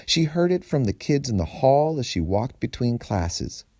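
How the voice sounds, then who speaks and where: A man reading a story aloud as a storyteller, with deliberate, dramatic phrasing and emphasis. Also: mid-range voice, sing-song, a little mellow, no echo, studio quality. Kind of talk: expressive storybook narration.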